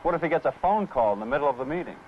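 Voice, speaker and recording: A man's voice: fairly high pitch, expressive, racing, natural voice. A man playing an eager, modest trainee salesman in a training film, inquisitive and deferential. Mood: keen. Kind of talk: 1970 training film acting. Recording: muffled, hissy 16mm film soundtrack.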